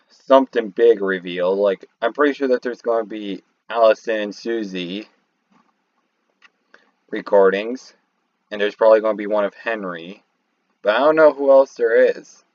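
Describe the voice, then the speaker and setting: A man giving casual, unscripted commentary as a video host, halting and rambling as he goes. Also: lowish voice, no room sound. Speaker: video host reacting to game lore. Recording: slightly muffled, dry home microphone.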